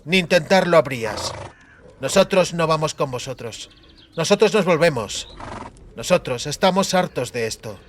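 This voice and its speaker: A man voicing a proud, defiant female soldier with a hoarse voice in a fantasy audiobook. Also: a little high, animated, normal speed, a little hiss or hum, natural voice.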